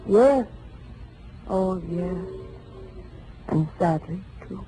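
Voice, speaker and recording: An actress playing a poised, candid, wistful young widow in an intimate, dramatic 1940s radio style. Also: female voice, medium pitch, animated, very slow, dark tone, poor audio. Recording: vintage 1940s radio, faint noise.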